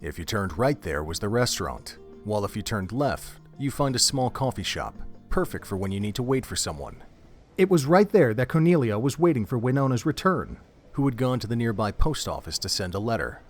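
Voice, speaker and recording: A man narrating an audio drama in a steady, descriptive storytelling manner. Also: mid-range voice, a little fast, faint music behind.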